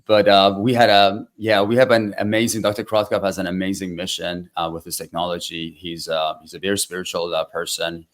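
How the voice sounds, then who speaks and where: A man with a slightly raspy voice answering as an interview guest in a halting, conversational way. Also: low voice, flat delivery. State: slightly raspy voice from dust after travel. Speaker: guest, wellness practitioner.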